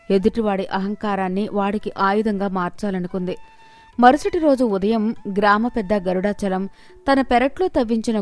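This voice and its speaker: A woman's voice: medium pitch, a little mellow. A woman delivering scripted narration of a moral story, with music behind her.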